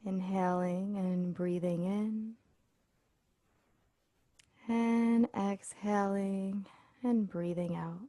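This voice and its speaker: A woman leading a guided body scan meditation as an instructor, speaking in a soothing, unhurried way with pauses. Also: medium pitch, fairly even intonation, dark tone, no echo.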